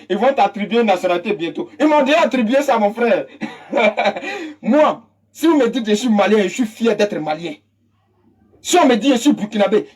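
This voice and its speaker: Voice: medium pitch, breathy edge. A speaker with an Ivorian French accent giving an impassioned political monologue, emphatic and mocking, repeating phrases for effect. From Côte d'Ivoire. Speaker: political commentator.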